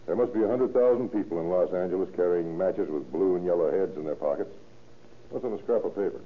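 An actor playing a brisk, authoritative, skeptical American police detective in an old-time radio drama, with a dry manner. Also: male voice, deep voice, lightly acted. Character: hard-boiled, skeptical male police detective in charge. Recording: tinny old radio recording.

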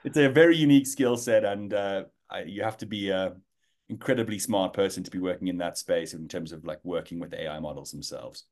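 A man's voice: low voice, brisk, darkish tone. A man in his thirties with a South African accent, a guest in an interview who talks casually with many fillers and restarts.

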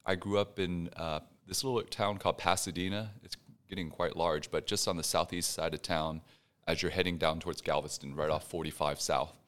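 A man's voice: low voice, steady tone, a little fast, a bit bright. A man in his forties from Houston, a guest business owner explaining things in an interview, with many fillers. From Houston, Texas. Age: 40s. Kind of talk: interview conversation.

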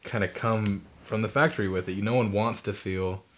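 A man's voice: lowish voice, steady tone, brisk. A young man co-hosting a casual talk podcast, joking dryly while explaining therapy terms. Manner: joking, dry humor. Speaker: podcast co-host, therapy student. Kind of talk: casual chat.

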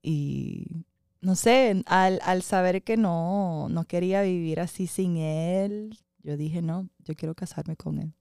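A woman's voice: lowish voice, slow, vocal fry, clear, resonant tone, dry recording, clean studio sound. A woman co-hosting a casual podcast with her husband, telling their story with lots of laughter and switching between Spanish and English. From New York. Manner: animated storytelling with frequent laughter. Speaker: podcast co-host, wife and mother. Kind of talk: casual chat.

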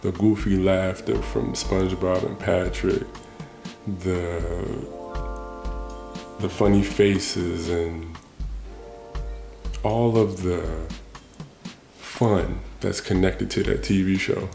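A man's voice: deep voice, fairly even intonation, slow pace, creaky, long pauses, roomy sound, music in the background. A man hosting a solo podcast, musing casually and restarting his thoughts as he goes. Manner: casual, thinking aloud with frequent restarts. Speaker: podcast host. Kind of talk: reflective solo monologue.

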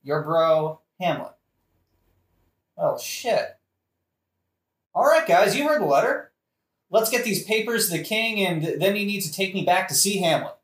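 With a roomy echo, a man plays an irritable young fellow in a modern satirical audio drama. His high-set male voice is offhand, wry and sarcastic. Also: animated, measured pace, long pauses, a bit bright. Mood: decisive. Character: grumpy sarcastic young man, prince's best friend Horatio. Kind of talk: modern-language satirical audio play acting.

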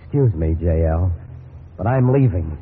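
A man's voice: deep voice, lively intonation, measured pace. An actor playing a distraught, self-blaming working family man in a 1950s radio drama, rueful and hesitant under strain. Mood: resolute. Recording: noisy, tinny 1950s radio recording.

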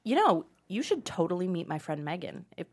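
A woman's voice: lowish voice, very animated, a little fast. A woman acting an anxious, cheerfully manipulative girlfriend in a comedy table read, chatty and overeager. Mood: conspiratorial.

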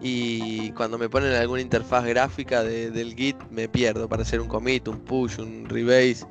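A man co-hosting a casual tech talk, relaxed, with many fillers and restarts. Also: medium pitch, moderate pace, vocal fry, music in the background. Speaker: co-host.

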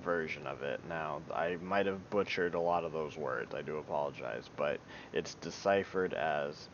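A man giving an informal Bible teaching talk, hesitant and apologetic, with many ums. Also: moderate pace. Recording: dull lo-fi recording with low rumble.

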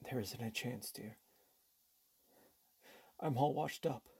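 Woman playing a very low-voiced, weary, desperate husband. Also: slow, darkish tone, dry recording, studio quality, exaggerated voice. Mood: despairing. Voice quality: low, husky. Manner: grave, subdued, resolute. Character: defeated, weary husband and father, quietly desperate. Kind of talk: radio drama acting.

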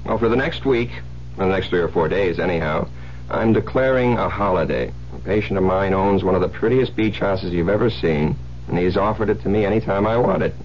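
An American actor playing a suspicious, domineering psychiatrist husband, insistent and pressing, in 1970s radio drama style. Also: male voice, low-pitched, a little fast, lightly acted. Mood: persuasive. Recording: muffled, hissy old radio broadcast with hum.

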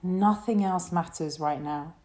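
A woman leading a guided relaxation meditation as a yoga teacher, speaking in a soothing, gentle way. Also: lowish voice. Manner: soothing, gentle, unhurried instruction.

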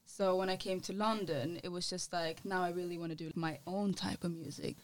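A young adult woman from Sweden, a musician and model, talking casually as a podcast guest in a relaxed, rambling way full of "like". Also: fairly low pitch, brisk. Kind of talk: casual interview chat.

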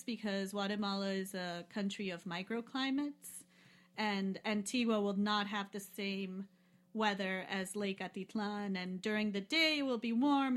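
A woman chatting casually as a podcast guest, loose and rambling, with many fillers and frequent laughs. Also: mid-range voice. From lives in Peru. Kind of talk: casual travel chat.

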